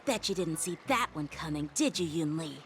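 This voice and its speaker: A woman's voice: sits low, animated, moderate pace, a bit bright, a little hiss or hum. A woman voicing a proud, polite teenage boy swordmaster with a courteous, competitive manner in an anime game. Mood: smug. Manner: courteous, formal, smugly competitive.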